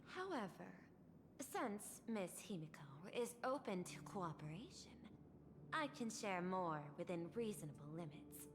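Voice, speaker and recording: A woman voicing a cool, logical, reserved female executive in a video game, with deliberate and formal delivery. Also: medium pitch, sing-song, background noise. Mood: guarded, courteous. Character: cool, logical, refined woman, high-ranking corporate executive. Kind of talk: video game dialogue acting. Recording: long reverb, music behind game dialogue.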